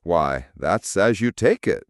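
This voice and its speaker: A man voicing a living shadow turned wealthy gentleman in a storybook, self-important, boastful and condescending. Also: deep voice, lively intonation, takes it slowly, dry recording, studio quality. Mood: breezy, condescending.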